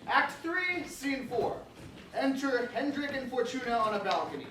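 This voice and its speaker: A man reads stage directions as scripted narration for a staged play. His voice is fairly high and comes from far off in a very echoey room, with faint noise. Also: expressive, moderate pace, sharp tone.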